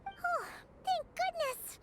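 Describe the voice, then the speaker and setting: Tiny squeaky excitable fairy. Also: female voice, slow, very noisy. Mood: relieved, excited. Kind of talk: video game voice acting.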